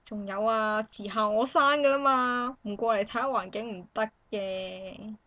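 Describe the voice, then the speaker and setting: Woman acting expectant visitor, Hong Kong Cantonese accent, bright forceful voice, animated exclamations, dry studio sound, amateur radio drama. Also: medium pitch, unhurried, dry recording, close to an everyday voice. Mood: friendly, cooing. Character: expectant young woman visiting a friend, chatty and indignant.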